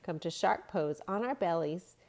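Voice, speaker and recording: A woman reading a storybook aloud as a children's yoga teacher, giving simple, gentle guiding instructions. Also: lowish voice, lively intonation, normal speed, gravelly.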